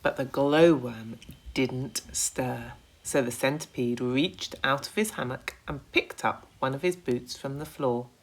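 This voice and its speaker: A woman reading a children's story aloud with expressive narration, like a primary school teacher. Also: a bass voice, unhurried, rough recording.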